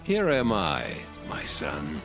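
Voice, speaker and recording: A man's voice: very deep voice, wildly swooping pitch, slow pace, over music, exaggerated voice. A man playing a devout, solemn patriarch in a dramatised scripture reading. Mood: devout, tender.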